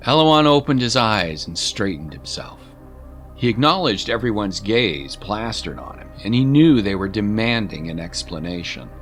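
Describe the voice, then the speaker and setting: A man's voice: fairly low pitch, big pitch swings, moderate pace, a bit bright. A man narrating a scripted story as an audiobook narrator, in a dramatic storytelling style. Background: music.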